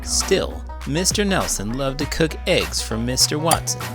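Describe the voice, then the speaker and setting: Man reading a storybook, wildly animated. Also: a little slow, music in the background. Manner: expressive, with wide swings in pitch and volume. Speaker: children's book reader and teacher. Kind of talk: animated storybook read-aloud.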